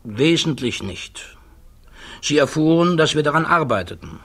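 An actor playing a principled, quietly defiant physicist under interrogation, speaking deliberately and precisely in the style of 1960s German radio drama. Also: male voice, a little high, big pitch swings, vocal fry, slightly rough, full character voice. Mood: measured. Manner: deliberate, precise, formally articulate.

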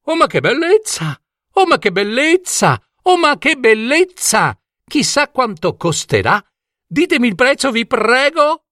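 A man voicing a young fairy-tale princess, dramatic and excitable, exclaiming and repeating her phrases. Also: very high voice, a little slow, dry recording, clean studio sound, voice pushed up, exaggerated voice. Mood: delighted. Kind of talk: fairy-tale storybook voice acting.

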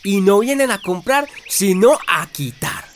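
Animated Colombian peasant neighbour. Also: female voice, mid-range voice, measured pace, loud music behind the voice. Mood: worried. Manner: folksy, exclamatory. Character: friendly, troubled peasant neighbour. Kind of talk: radio drama acting.

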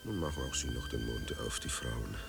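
An actor playing a dead writer in a radio play, wry, restless and formally courteous. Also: male voice, rumbling low, wildly swooping pitch, brisk, vocal fry, loud music behind the voice, exaggerated voice. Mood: wry, aggrieved. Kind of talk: radio play acting, monologue and dialogue.

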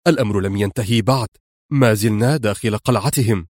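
A man's voice: on the low side, brisk, darkish tone, dry recording, studio quality, natural voice. A man voicing a resolute male secret agent in a spoken story, firm and clipped.

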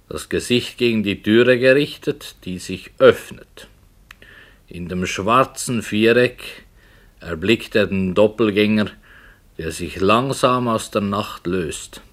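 An actor playing an authoritative writer who narrates a dark tale in a measured, grave manner for a radio play. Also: male voice, fairly low pitch. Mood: grave, ominous. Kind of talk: 1960 German radio drama narration.

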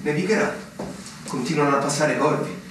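An actor playing an earnest, wistful, anxious young lieutenant in a theatrical radio play, with solemn, deliberate dramatic phrasing. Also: male voice, a little high, background noise, natural voice. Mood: subdued. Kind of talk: theatrical stage acting, radio play monologue. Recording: very echoey, distant mic, loud music. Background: plucked guitar music.

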